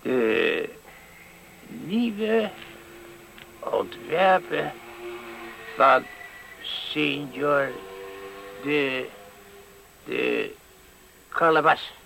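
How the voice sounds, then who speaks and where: A man's voice: on the high side, lively intonation, very slow, vocal fry, long pauses, bright, forceful tone, exaggerated voice. An actor playing a grumbling, leering old man on a bench in a radio play. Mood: nosy. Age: older. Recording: faintly noisy 1970s radio recording.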